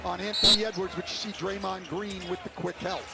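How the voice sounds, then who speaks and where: A man's voice: high voice, steady tone, brisk, creaky. A man giving live game analysis as a basketball color commentator. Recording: very loud arena noise behind.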